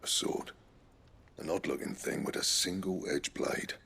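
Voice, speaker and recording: Very gravelly, husky British blacksmith. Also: male voice, rumbling low, measured pace, pushed and bright, heavily acted. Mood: preoccupied. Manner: blunt, grumbling. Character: gruff, grudging, foul-mouthed master blacksmith. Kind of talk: video game voice acting.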